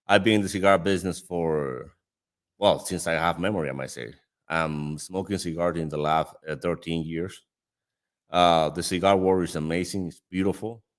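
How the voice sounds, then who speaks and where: Deep-voiced Dominican man hosting a chat. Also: fairly even intonation, moderate pace. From Dominican Republic. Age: 30s. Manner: laughs often, friendly and chatty. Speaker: host. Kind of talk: casual chat.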